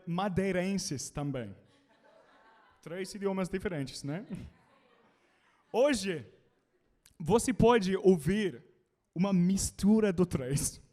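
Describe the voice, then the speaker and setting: A British man, a native English speaker, preaching a sermon in learner Portuguese and calling out to the congregation. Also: high-pitched. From Britain. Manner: animated, calls out to the congregation.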